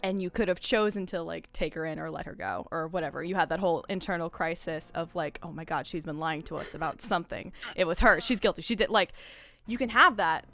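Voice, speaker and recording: A woman's voice: on the low side, fairly quick, bright, forceful tone. A woman talking casually about video games as a stream host, digressive and often interrupting herself. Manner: rambling, digressive, full of self-interruptions. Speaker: host of a game discussion stream.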